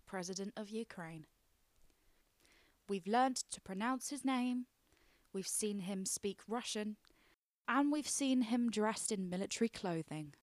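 A woman narrates her own written essay in a steady, explanatory read. Her voice sits at a middling pitch. It is recorded close and dry, with no room echo. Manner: measured, explanatory reading. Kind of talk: scripted narration.

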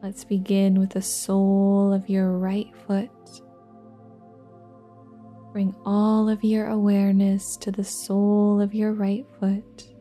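A woman leading a guided relaxation meditation in a soft, gentle, breathy and soothing voice, leaving long pauses between phrases. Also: mid-range voice, completely flat delivery. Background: soft new-age music with singing bowls.

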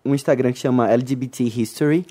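Chatty man with a mellow voice. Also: medium pitch, fairly even intonation, fast talker. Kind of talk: casual chat.

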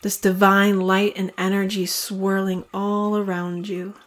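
A woman leading a guided meditation, speaking in a soothing manner with long pauses between phrases. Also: mid-range voice, little pitch movement. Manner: slow, soothing, evenly paced with long pauses.

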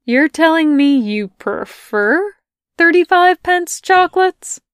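A woman voicing a brash, teasing young woman in an audio fanfic reading, in a loud, high-pitched manner. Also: animated, slow, dry recording, clean studio sound, heavily acted. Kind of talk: podfic character voice.

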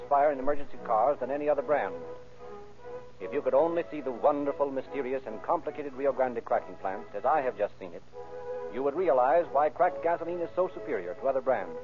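A man announcing and narrating in formal, theatrical, emphatic phrasing, in 1930s radio style. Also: mid-range voice. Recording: tinny old radio recording.

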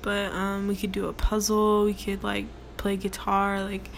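A young woman with a deep voice hosting her own casual solo podcast from her workplace, rambling with many ums and likes in a relaxed way. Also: measured pace, creaky. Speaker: podcast host. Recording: noticeable background noise.

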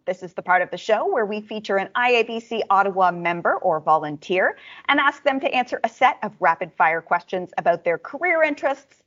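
A woman's voice: on the high side, a bit bright, lo-fi sound. A woman hosting an interview podcast, encouraging and upbeat with frequent fillers. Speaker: podcast host.

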